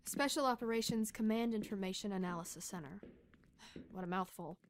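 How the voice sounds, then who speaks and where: A woman's voice: medium pitch, a little fast, slight background noise, close to an everyday voice. A woman playing a brash young female soldier in a radio drama, blunt, sassy and impatient. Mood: eager, teasing. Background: loud music.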